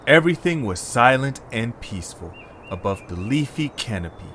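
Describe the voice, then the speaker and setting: A man works as narrator for a scripted audio drama. His mid-pitched voice moves in lively, wide swings, recorded with faint background noise. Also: normal speed.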